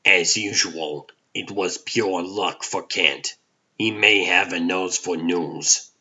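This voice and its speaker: A man playing a gruff, wry middle-aged newspaper chief in a comic book dub. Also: low voice, sharp tone, muffled recording, heavily acted.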